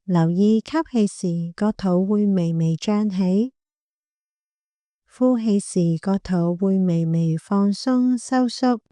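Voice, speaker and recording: A woman with a Hong Kong Cantonese accent guiding a meditation in a gentle, calm, unhurried manner. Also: mid-range voice, no echo, clean studio sound. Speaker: meditation guide, clinical psychologist. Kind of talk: guided body scan meditation.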